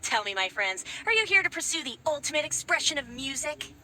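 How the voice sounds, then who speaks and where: A woman's voice: sits high, very animated, a little fast, sharp tone, exaggerated voice. A woman voicing a giant talking flower that guards a hall, friendly and easygoing, with casual slang. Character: giant talking flower, cheerful laid-back gatekeeper. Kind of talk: video game dialogue. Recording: game audio with clear background noise.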